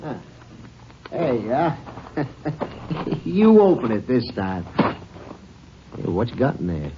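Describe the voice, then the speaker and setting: A man's voice: mid-range voice, big pitch swings, slow pace. An American man acting a nervous, evasive killer in a western radio drama, jumpy and prone to stammering. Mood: uneasy bluster. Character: touchy, nervous male killer cornered by a lawman. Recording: muffled, noisy 1950s radio recording.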